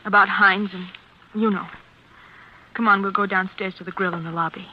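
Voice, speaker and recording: A woman's voice: medium pitch, steady tone, moderate pace, lightly acted. An actress playing an anxious young switchboard girl, pleading and urgent, in a 1940s radio drama. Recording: noisy, dull 1940s radio recording.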